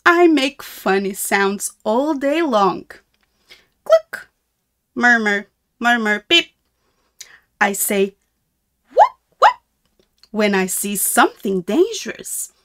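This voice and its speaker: A woman voicing a friendly little meerkat in a children's storybook, with a simple, deliberate, childlike sing-song delivery. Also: on the high side, big pitch swings, fairly bright tone, full character voice.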